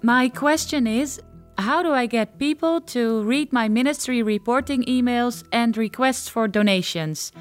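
A woman leaves a question as a caller. Her voice sits a little high. There is faint music behind her message. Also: unhurried.

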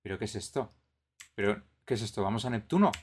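A man's voice: on the low side, expressive, fast, husky. A man with a Madrid Spanish accent, hosting a casual live stream and commentating on a game in a bemused, questioning way. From Madrid area, Spain. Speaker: podcast host and streamer.

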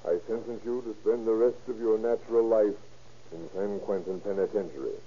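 An actor playing a stern, solemn judge with formal delivery in a 1930s radio drama. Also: male voice, fairly low pitch, fairly even intonation, brisk, close to an everyday voice. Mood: grave. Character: stern, grave male judge passing sentence. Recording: muffled, noisy 1930s radio recording.

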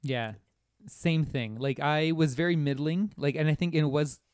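A man's voice: fairly high pitch, a little slow, a little mellow, clear, resonant tone, no room sound, studio quality. A man chatting informally and musing about a video game as a podcast guest. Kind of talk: casual chat, discussing a video game.

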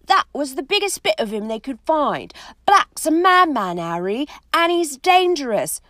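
A woman voicing a hot-tempered teenage boy who talks loud and heated. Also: on the high side, very animated, moderate pace, dry recording, studio quality, voice pushed up. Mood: furious.

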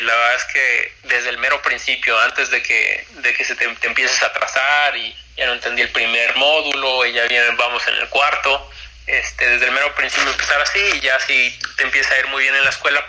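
A man's voice: mid-range voice, flat delivery, normal speed, sharp tone, poor audio. A young man talks casually as an interview guest about college tennis, with many fillers and restarts.

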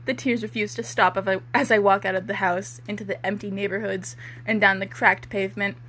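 A woman's voice: medium pitch, little pitch movement, a little fast, fairly bright tone, a little hiss or hum. A young woman giving an amateur dramatic reading of fiction, with casual, giggly, self-mocking banter. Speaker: co-host, author reading own fan fiction.

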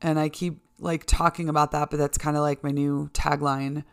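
A middle-aged American woman with a low-pitched voice hosts her own podcast alone. She speaks in a candid, informal monologue straight to the listener, loose and conversational, with the odd swear word. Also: flat delivery, moderate pace, creaky, clean tone. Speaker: solo podcast host.

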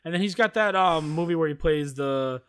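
A man's voice: a little high, fairly quick, clear, resonant tone. A man co-hosting a podcast, talking casually about films and often searching for his words. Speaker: podcast co-host. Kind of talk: casual film discussion.

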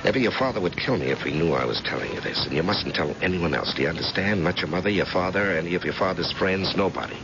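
An actor playing a blunt, protective family friend in an old-time radio crime thriller, sometimes hesitant, sometimes urgent. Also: male voice, low voice, animated, fast, vocal fry, hardly any pauses, over music. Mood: reluctant, confiding. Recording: dull, very noisy old radio recording.

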